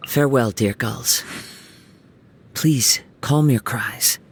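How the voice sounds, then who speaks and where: A man voicing a gentle young wandering samurai in a video game, soft-spoken and reflective, with lyrical, poetic phrasing. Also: medium pitch, takes it slowly, a little hiss or hum. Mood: gentle, earnest. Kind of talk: video game character voice lines. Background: music.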